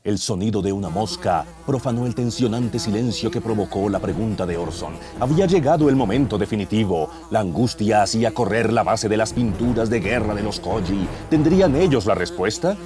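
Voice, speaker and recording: A man's voice: on the low side, animated, brisk, few pauses. A man in his thirties with a Colombian accent narrating a radio serial in a mock-epic, suspenseful style, close on a studio mic. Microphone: close broadcast microphone.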